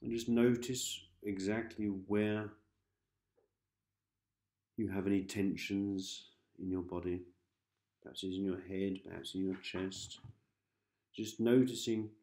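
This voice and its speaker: A man guiding a sleep hypnosis session in a calm, gentle manner, giving repetitive soothing instructions with pauses. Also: low voice, echoey room. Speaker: hypnosis host, meditation guide.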